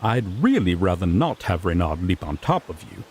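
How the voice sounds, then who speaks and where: A man's voice: low voice, big pitch swings, normal speed, darkish tone, a little hiss or hum. A man playing a sassy griffon knight in a fantasy audio drama, an earnest rookie lawman who explains things formally with mild exasperation. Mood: officious, patient.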